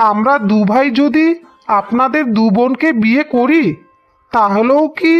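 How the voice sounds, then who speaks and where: A woman's voice: high voice, dull audio. A woman playing a devoted, polite young male suitor in a cartoon fairy tale, speaking earnestly and solemnly.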